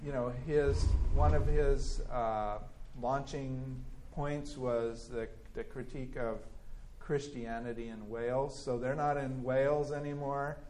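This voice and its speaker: A man's voice: mid-range voice, steady tone, a little slow, hardly any pauses, a little mellow. A man leads a church class, reading from a biography and stopping to comment. His mid-range voice jumps up when he acts out quoted lines, and he talks with plenty of restarts and fillers. A faint hiss sits behind the recording. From California. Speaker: Bible teacher.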